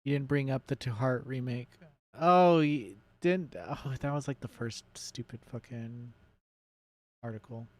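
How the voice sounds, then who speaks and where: Male gaming streamer, medium pitch, nerdy and casual, rambling live commentary with loose swearing, very dry sound. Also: a little slow, dry recording. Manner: self-described nerdy enthusiast. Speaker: game streamer hosting a let's play.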